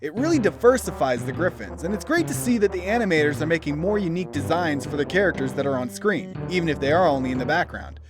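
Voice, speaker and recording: A man's voice: fairly high pitch, lively intonation, fast, few pauses. A man narrating a scripted review as host in a theatrical, opinionated manner. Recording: background music behind voice. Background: instrumental music.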